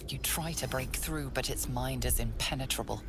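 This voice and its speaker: A woman narrating a video game in the second person, measured, hushed and ominous. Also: rumbling low, over music.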